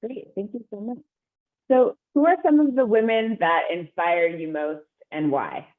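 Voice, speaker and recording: A woman's voice: medium pitch, normal speed. A woman interviewer reads her prepared questions in an upbeat, polite manner. Her voice comes through a narrow, tinny video call line.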